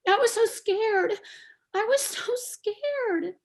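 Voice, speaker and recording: A woman playing a frightened, abandoned little girl in a stage play reading, speaking in a halting, plaintive, repetitive way. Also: extremely high pitch, full character voice. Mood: scared, grieving.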